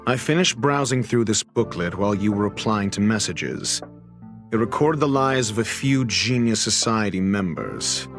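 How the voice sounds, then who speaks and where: An actor playing a courteous, cryptic detective in a video game, speaking in a formal, measured way. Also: male voice, on the low side, expressive. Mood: grave, measured. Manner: formal, measured, theatrical wording. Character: composed, eloquent male detective, courteous and cryptic. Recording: music behind the voice.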